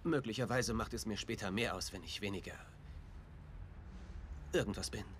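An actor playing a tactless, upbeat, eccentric detective who talks in a chatty, flippant way in a dubbed TV drama. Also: male voice, fairly low pitch, normal speed, a little hiss or hum, lightly acted.

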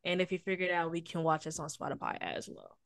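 A woman's voice: on the low side, steady tone, fast talker, gravelly, a bit bright, no echo, studio quality. Playful Southern woman hosting a podcast. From Houston, Texas. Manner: playful, teasing, hypes up her co-host. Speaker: podcast co-host. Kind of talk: casual podcast chat.

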